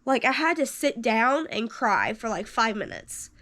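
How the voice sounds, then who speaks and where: A young woman in her twenties telling a personal story to camera, animated, rambling with fillers and jumping up in pitch for quoted lines. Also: measured pace. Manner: rambling with many fillers and restarts. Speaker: vlogger.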